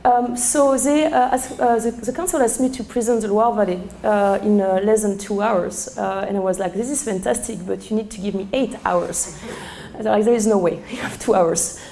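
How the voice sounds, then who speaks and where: A middle-aged woman with a strong French accent, a sommelier speaking live to a seminar room, hoarse from losing her voice and chatty with many fillers. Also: mid-range voice, fairly even intonation, normal speed, mellow, a little hiss or hum. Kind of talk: live talk to a seminar audience.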